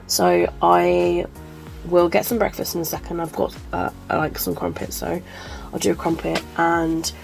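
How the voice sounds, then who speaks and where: A woman's voice: lowish voice, wildly swooping pitch, takes it slowly, creaky, pauses often, a bit bright, soft background music, rough recording. A woman chatting casually to camera as a book vlogger, sounding chesty and congested, rambling with lots of ums and likes. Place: at home.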